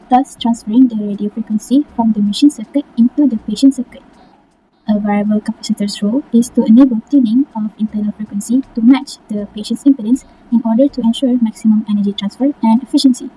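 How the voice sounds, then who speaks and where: A woman's voice: a little high, dark tone, slight background noise, poor audio. A young woman reading a scripted technical presentation as a student.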